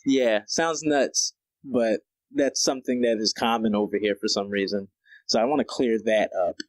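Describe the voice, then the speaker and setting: A man hosting a casual podcast interview, relaxed and quick with short affirmations. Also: on the low side, no room sound, low-quality recording. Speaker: podcast host.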